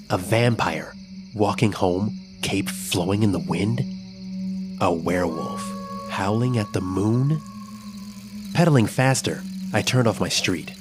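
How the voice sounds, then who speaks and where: A man's voice: fairly low pitch, natural voice. A man playing an adventurous, kind child who narrates a scripted children's story expressively. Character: adventurous, imaginative, kind child telling a Halloween tale. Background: music.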